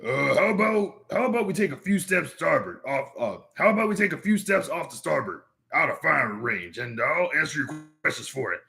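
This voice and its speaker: A man plays a helpful little cartoon bug with a creaky, highish voice. He is mild and folksy, hesitating politely, with lots of bounce in the pitch. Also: sing-song, a little fast, hardly any pauses, sharp tone, full character voice. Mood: hesitant, helpful. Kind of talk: comic book read aloud in character voices.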